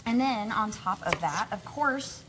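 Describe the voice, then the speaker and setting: A woman's voice: medium pitch, animated, a little slow, heavy background noise. Upbeat young woman demoing a recipe. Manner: upbeat, instructive, chatty. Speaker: cooking guest from a grocery store. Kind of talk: live TV cooking demo chat. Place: TV studio kitchen set.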